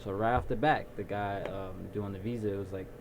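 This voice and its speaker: A young man being interviewed about his video production company, talking in a relaxed, rambling way with lots of ums. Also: on the low side, brisk. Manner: relaxed storyteller, many ums and restarts. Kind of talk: casual interview. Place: small recording studio. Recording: noisy background.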